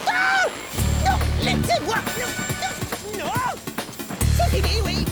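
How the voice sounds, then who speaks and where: High boyish cartoon kid hero, impatient n slangy, loud music behind. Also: female voice, big pitch swings, takes it slowly, exaggerated voice. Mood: panicked, pleading. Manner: slangy, exasperated, dramatic. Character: brash young boyish microbe hero, excitable, impatient. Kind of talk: French cartoon dub acting.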